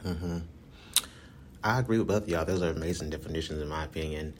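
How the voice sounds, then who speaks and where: A man's voice: rumbling low, fast talker, a little hiss or hum. An American man hosting a casual discussion podcast, moderating the conversation and putting questions to the group. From United States. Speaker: podcast host.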